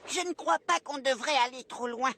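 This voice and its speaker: A woman's voice: sits high, normal speed, bright, forceful tone. A woman voicing a bookish, worrying young boy in a French cartoon dub, hesitant and protesting. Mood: anxious, pleading.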